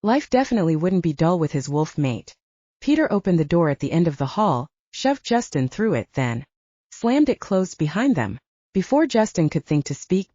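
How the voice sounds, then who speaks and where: Female audiobook narrator, lowish voice, scripted storytelling, soft mellow tone, muffled audio. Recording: dull, roomy, echoing audio.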